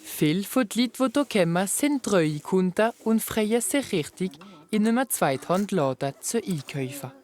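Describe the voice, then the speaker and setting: A woman's voice: mid-range voice, animated, normal speed, a little mellow. A woman narrating a regional television news report and interviewing a guest.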